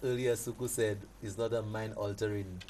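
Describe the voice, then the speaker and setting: A man's voice: on the low side, steady tone, moderate pace, dark tone. A man with a Caribbean accent speaking as a guest in casual discussion, agreeable and affirming. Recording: slight background noise.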